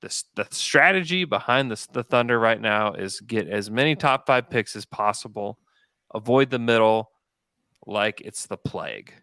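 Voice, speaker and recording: A man's voice: on the low side, normal speed, sharp tone, no echo. A man hosting a sports podcast, arguing his points emphatically in a casual debate.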